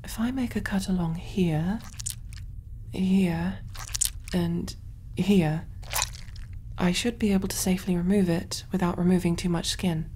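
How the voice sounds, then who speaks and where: A kind alien surgeon voiced by a woman speaks in a dark, mellow, fairly low voice, with some background noise behind her. She is soft and breathy, often slipping toward a whisper. Her manner is motherly and soothing, as if thinking aloud while she tends a patient in an ASMR sci-fi roleplay. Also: steady tone, a little slow, lightly acted. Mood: gentle, reassuring. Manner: gentle, reassuring, musing aloud while working.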